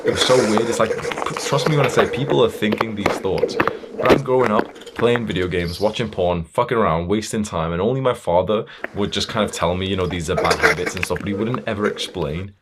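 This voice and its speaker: Low-voiced blunt young man, motivational talk. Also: brisk, very noisy. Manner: blunt, stern, emphatic, swearing. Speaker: self-improvement coach.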